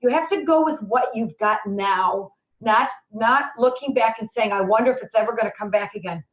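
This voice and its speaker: A middle-aged woman teaching homeopathy, answering questions in a chatty, animated way and acting out people's worries, her voice a little hoarse at times. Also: fairly high pitch, moderate pace. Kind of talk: webinar Q&A answers. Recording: echoey, dull lo-fi webinar audio.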